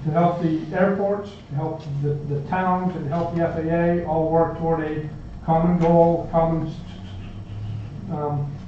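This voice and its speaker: A man's voice: a little high, fairly even intonation, a little slow, a little hiss or hum. A man with a stutter, a state aviation engineer, presenting to a town board in a joking, self-deprecating way. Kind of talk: public meeting presentation. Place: town office meeting room. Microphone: table microphone. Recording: echoey, distant, dull meeting recording.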